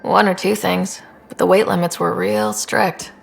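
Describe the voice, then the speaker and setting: A woman playing a steady, wry young space explorer who leads a crew in a video game, in an understated, naturalistic way. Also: mid-range voice, a little slow, gravelly, soft background music.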